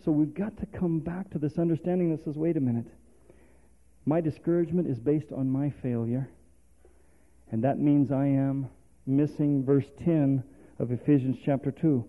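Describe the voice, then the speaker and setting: A man's voice: on the high side, fairly even intonation, moderate pace. A male preacher, delivering a sermon full of rhetorical questions and calls for response. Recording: tinny phone-like sermon recording.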